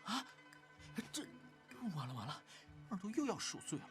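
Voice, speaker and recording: An actor playing a mischievous, playful teenage boy cultivator in an animated drama, chatty and teasing. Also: high voice, moderate pace, music in the background. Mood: dismayed.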